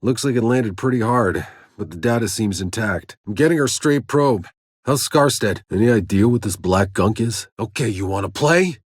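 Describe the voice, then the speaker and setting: A man playing a gruff, sardonic, battle-worn soldier in a sci-fi video game, with a gritty, husky voice and a terse, dry delivery. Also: on the low side, lively intonation, moderate pace, no echo. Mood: casual, alert.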